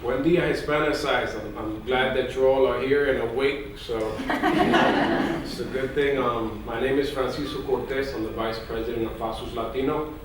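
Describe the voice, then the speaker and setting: A man from Puerto Rico, a news executive on a conference panel, telling energetic stories and dropping in Spanish phrases. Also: medium pitch, moderate pace. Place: large conference hall. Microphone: distant mic in an echoey hall. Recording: echoey hall recording from far off, faint noise.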